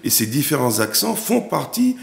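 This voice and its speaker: A man from Martinique, an actor and director, talking as a guest in a TV interview, with halting speech full of repeats and restarts. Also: mid-range voice, big pitch swings, vocal fry, reverberant.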